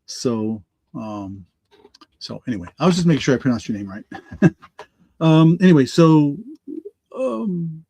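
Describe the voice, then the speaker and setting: A man hosting a live online auction in a rambling, halting way, full of restarts and fillers, hoarse from allergies. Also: a little high, big pitch swings, mellow, no echo. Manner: halting, lots of ums and restarts.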